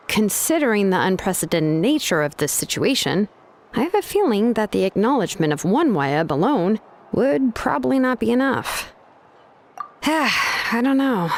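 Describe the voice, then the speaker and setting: An actress playing a young master craftswoman in a video game, blunt and pragmatic, speaking with measured, hesitant exasperation. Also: medium pitch, natural voice. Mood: pondering. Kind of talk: video game character dialogue. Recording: music behind the voice.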